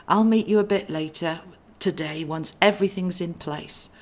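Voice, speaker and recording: A woman's voice: on the low side, moderate pace, lightly acted. A woman voicing a kindly male angel in a storybook, a genteel, musing big brother with a plan. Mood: reassuring.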